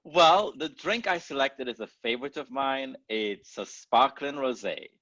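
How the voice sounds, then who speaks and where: A man from Trinidad and Tobago, a podcast guest talking in an easygoing, relaxed way. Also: mid-range voice, no room sound, dull audio. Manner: relaxed, easygoing, quick to laugh. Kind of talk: casual interview conversation.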